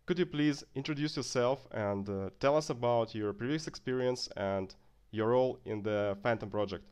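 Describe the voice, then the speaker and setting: A man's voice: medium pitch, moderate pace, a little mellow, clear, resonant tone. A man hosting an interview, introducing guests and putting questions to them. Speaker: interview host.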